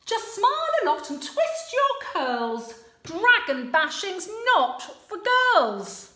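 A woman's voice: very high voice, wildly swooping pitch, takes it slowly, bright, forceful tone, roomy sound, pitched above the performer's own voice, exaggerated voice. A woman voicing a pompous, boastful young prince who bosses everyone around. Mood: bossy.

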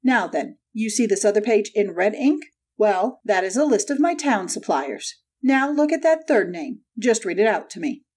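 A woman voicing a hot-tempered male poultry salesman, gruff and combative, in a dramatic audiobook. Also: mid-range voice, big pitch swings, moderate pace, darkish tone. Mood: smug, challenging. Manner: gruff, combative, snapping delivery.

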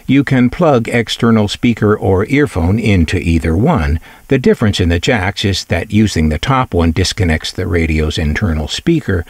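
Low-voiced man narrating, lively intonation. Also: no echo. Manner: wry, conversational asides. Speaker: presenter of vintage radio collection videos. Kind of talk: scripted narration, product showcase.

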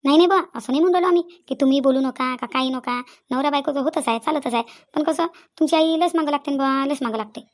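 A woman's voice: sits high, quick pace, hardly any pauses, natural voice. A woman playing insistent, talkative village women in a comedy cartoon, with a rural Vidarbha Maharashtrian accent and a habit of repeating phrases. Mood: placating. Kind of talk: Marathi comedy cartoon dialogue.

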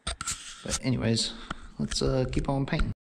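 A man's voice: medium pitch, steady tone, unhurried, gravelly, noisy background. A man talking casually to the camera as a DIY vlogger, with a plain-spoken, laid-back manner, recorded on an action camera in a concrete-block basement. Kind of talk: casual talk to camera while working.

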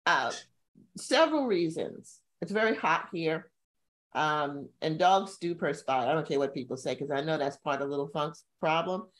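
Casting note: middle-aged woman, lowish voice, lively storyteller who jumps up high for emphasis, webcam from a home room. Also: moderate pace, low-quality recording. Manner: animated storytelling with sudden high-pitched emphasis. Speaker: co-host. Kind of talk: casual video-call chat. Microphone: video call webcam.

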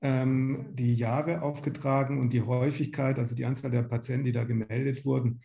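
A man in his fifties from Germany presents a lecture in a very dark, soft-edged voice with a noticeable fry. He explains things step by step, pausing and feeling for words, and the sound is that of a video call from an echoey room. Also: medium pitch, level tone, highs cut off. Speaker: medical lecturer, pediatric gastroenterologist. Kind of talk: slide lecture to patients. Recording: roomy home or office echo.

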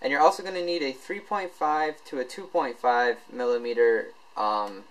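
A man presenting a tech tutorial in a casual, informal, unscripted way, halting as he explains each step. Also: medium pitch, fairly even intonation, a bit bright, low-quality recording.

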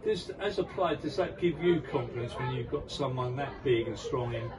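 Older club interviewer outdoors. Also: male voice, medium pitch, moderate pace. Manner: chatty, promotional, leading questions. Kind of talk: post-match interview. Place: outdoors by a sponsor board at a football ground. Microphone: handheld mic, camera at a distance. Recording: distant mic with crowd noise.

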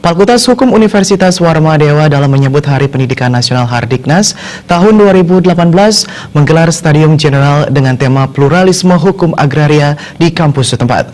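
A man's voice: a little high, lively intonation, fairly quick, a little mellow. A young man presenting scripted news as a studio anchor. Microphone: lapel mic.

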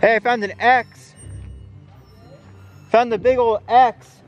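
A man's voice: very high-pitched, fairly even intonation, slow pace, highs cut off, soft background music. A young man talking casually to his handheld camera while hiking outdoors in a mountain forest. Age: young adult. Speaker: vlogger. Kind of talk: casual travel vlog. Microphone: handheld camera at arm's length. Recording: noisy outdoor handheld recording.

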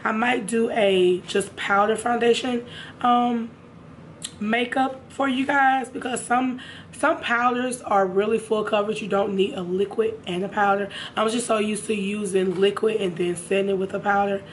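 Hoarse, raspy young woman chatting. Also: mid-range voice, fairly even intonation, normal speed, slight background noise. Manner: chatty, addresses viewers directly. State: hoarse from a sinus infection. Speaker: beauty vlogger. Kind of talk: casual makeup haul chat. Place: home room, indoors.

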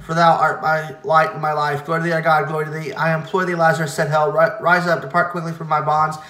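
A man solemnly reciting liturgical hymn verses in a measured way, now and then stumbling over a word. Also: fairly high pitch, little pitch movement, pushed and bright. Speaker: reader of church liturgy. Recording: echoey, reverberant room.

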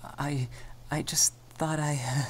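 A man voicing a shy, flustered young male vampire in a soft ASMR roleplay, stammering and hesitant. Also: fairly high pitch, flat delivery, slow, slight background noise. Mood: apologetic, sad.

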